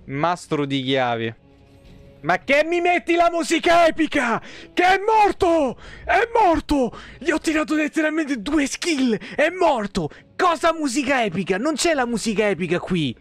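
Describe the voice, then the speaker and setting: A man's voice: extremely high pitch, big pitch swings, a little slow, faint music behind. A man giving casual, exclamatory, sweary live commentary on a game. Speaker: live streamer. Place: indoor room, webcam stream. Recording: game sound behind the voice. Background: game effects and ambience.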